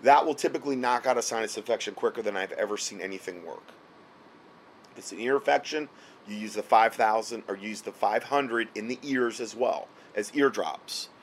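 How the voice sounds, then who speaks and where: A man's voice: mid-range voice, a little hiss or hum. A man who is losing his voice gives casual, repetitive spoken advice late at night. He keeps checking in with the listener and often repeats words as he goes. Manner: repeats himself, frequent "okay?" check-ins. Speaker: health product seller giving advice. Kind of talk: casual instructional talk.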